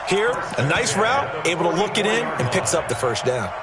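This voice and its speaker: A man's voice: high-pitched, very animated, moderate pace, very noisy. An American man giving conversational color commentary and analysis for a football video game.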